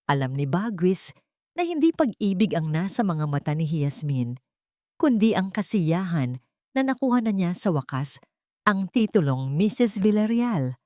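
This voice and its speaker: A woman's voice: fairly low pitch, darkish tone, dry recording, studio quality. A woman narrating a scripted love story in a dramatic storytelling manner.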